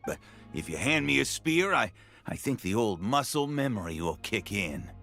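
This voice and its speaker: A man's voice: mid-range voice, big pitch swings, normal speed, vocal fry, sharp tone, full character voice. An actor playing an older male villager in a video game, a humble former fighter who speaks earnestly and a little hesitantly. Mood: humble resolve. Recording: game music behind the voice.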